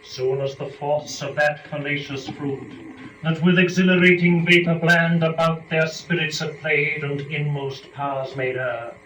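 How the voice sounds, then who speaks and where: A male narrator gives a solemn dramatic reading of epic poetry. His delivery is very slow and declamatory, broken by weighty pauses. He sounds away from the microphone in an echoey room, with clearly audible noise. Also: a little high, fairly even intonation, sharp tone, far from the mic.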